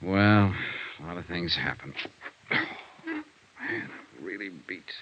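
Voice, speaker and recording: An actor playing a world-weary, wry insurance investigator in a vintage radio noir drama, with terse, punchy delivery. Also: male voice, deep voice, huge pitch swings, takes it slowly, a bit bright, heavily acted. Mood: tired, measured. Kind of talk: 1950s radio noir acting and narration. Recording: dull, noisy old radio recording.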